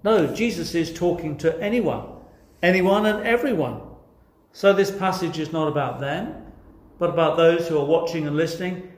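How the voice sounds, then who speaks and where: A man's voice: fairly high pitch, normal speed. A man with an English accent preaching a sermon earnestly, putting questions directly to his listeners. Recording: echoey, reverberant room sound.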